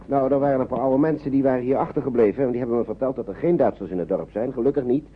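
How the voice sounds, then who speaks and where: A man's voice: medium pitch, racing, narrow, tinny audio, lightly acted. An actor in a radio play voicing a kindly, anxious man shepherding children, fussy and reassuring, often repeating himself. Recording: noisy old radio play recording.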